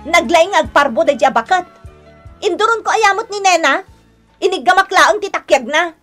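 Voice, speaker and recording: Radio drama with music underneath: a defensive young wife, wronged and plaintive. Her voice sits extremely high and carries every grievance with open emotion. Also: expressive, moderate pace, a bit bright. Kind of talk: Ilocano radio drama acting.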